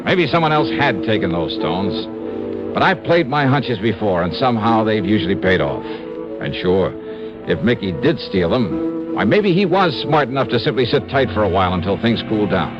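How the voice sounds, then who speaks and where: An actor playing a wisecracking insurance investigator in a 1950s radio drama, narrating in first person with an offhand, breezy manner. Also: male voice, on the low side, sing-song, normal speed, loud music behind the voice. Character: wisecracking male insurance investigator, casual and sure. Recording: dull lo-fi old radio broadcast.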